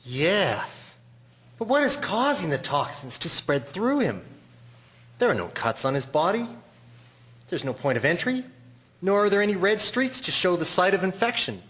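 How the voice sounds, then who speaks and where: Bright, theatrical Victorian doctor played by a man. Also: high-pitched, sing-song, normal speed. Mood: puzzled. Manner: theatrical, emphatic. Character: grave, dramatic male Victorian doctor, baffled and pious. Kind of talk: scripted audio drama acting.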